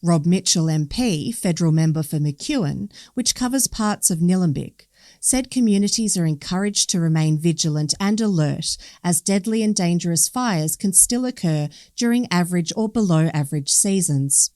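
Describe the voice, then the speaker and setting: A woman with an Australian accent narrates a written news article. Her voice sits a little low, and the recording is very dry, with no room sound. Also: lively intonation, normal speed, a little mellow, dry recording. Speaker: newspaper story reader.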